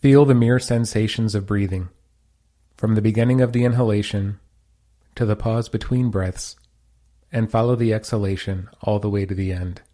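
Low, mellow man guiding a meditation. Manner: calm, soothing, unhurried instructions. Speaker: meditation teacher. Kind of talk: guided meditation, spoken instruction.

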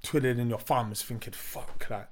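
A man's voice: mid-range voice, steady tone, moderate pace. A young man, chatting in a laid-back, slangy way as a podcast guest. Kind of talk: casual chat.

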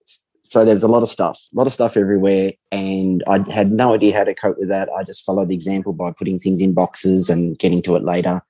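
Relaxed man with a low, dark voice. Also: dry recording, rough recording. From Australia. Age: 40s. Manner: relaxed, reflective, frequent pauses. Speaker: podcast guest. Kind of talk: casual interview chat.

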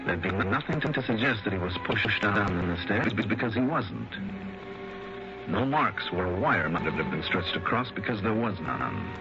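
An actor playing a sober, sympathetic investigator, narrating a 1960s radio detective drama in a measured, deliberate way. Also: male voice, lowish voice, lively intonation, over music. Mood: tense, careful. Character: sober, sympathetic male investigator recounting his case. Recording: dull old radio broadcast recording.

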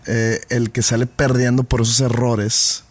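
A man's voice: medium pitch, flat delivery, unhurried, no echo. A man in a casual podcast chat, playful and wordy, building long joking metaphors. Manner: playful, wordy, teasing jokes.

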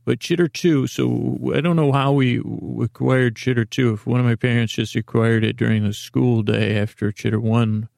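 A middle-aged man hosting a podcast with a silly, halting monologue that meanders and repeats itself as it drifts into tangents. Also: mid-range voice, steady tone, creaky, darkish tone, no echo, studio quality. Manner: silly, whimsical. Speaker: podcast host. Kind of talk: rambling comedic monologue.

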